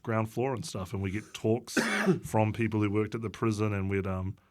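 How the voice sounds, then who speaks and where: Halting low-voiced New Zealand man. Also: steady tone. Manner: hesitant, halting, wry. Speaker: podcast guest, comedian. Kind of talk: casual chat, comic anecdotes.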